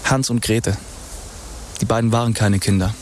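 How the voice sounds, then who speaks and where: A man's voice: lowish voice, level tone, moderate pace, a little hiss or hum, lightly acted. An actor playing an obsessive male fairy-tale archaeologist, lecturing insistently and emphatically in a German radio crime drama. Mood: eager, earnest.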